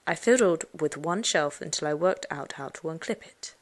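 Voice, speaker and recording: A woman with a low voice narrates a children's story in the first person. Her reading is highly animated and suspenseful, moving between expressive emphasis and hushed tones. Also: moderate pace. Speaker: audiobook narrator.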